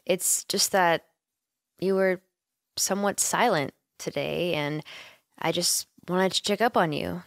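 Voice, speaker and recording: A woman playing a caring, playful young female roommate who gently coaxes and teases her friend in an intimate ASMR roleplay. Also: fairly low pitch, takes it slowly, vocal fry, no echo, clean studio sound. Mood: concerned, gently teasing. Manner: playful, gently coaxing, giggly, hesitant. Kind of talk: ASMR roleplay, intimate one-sided conversation.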